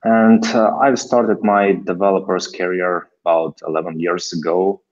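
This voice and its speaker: Really deep-voiced guy from ukraine, thirties, muffled lo-fi call audio. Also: little pitch movement, moderate pace. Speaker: guest developer. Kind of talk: self-introduction in an interview.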